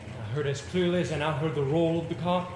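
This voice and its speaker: A male amateur actor plays an earnest gentleman haunted by what he has witnessed, telling it theatrically in deliberate, weighted phrases. His somewhat high voice echoes through the theatre, over faint background noise. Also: steady tone, lightly acted. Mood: haunted, grave. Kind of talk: theatrical amateur stage monologue. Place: theatre stage, recorded from the audience.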